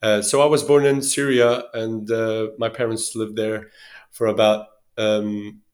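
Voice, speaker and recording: A man in his thirties from Sweden speaking reflectively as an interview guest, often pausing and restarting as he searches for words. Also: lowish voice, little pitch movement. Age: 30s.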